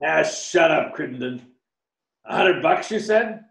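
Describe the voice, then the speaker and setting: An older actor playing a gruff, slangy, impatient corrupt jail guard in a radio mystery. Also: male voice, fairly high pitch, lively intonation, a little slow, fairly bright tone, exaggerated voice. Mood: curt. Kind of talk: old-time radio play acting. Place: home room, video call. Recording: roomy home video call.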